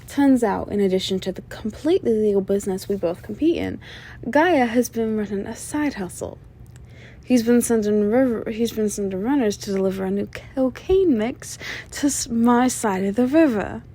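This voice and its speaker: A woman playing a flirtatious, menacing female mob boss in an ASMR roleplay, sly and self-assured, with a soft, teasing, sultry delivery. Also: fairly high pitch, breathy edge. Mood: businesslike, confiding. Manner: teasing, sultry, drawn-out delivery with veiled threats. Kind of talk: scripted ASMR roleplay monologue addressed to listener.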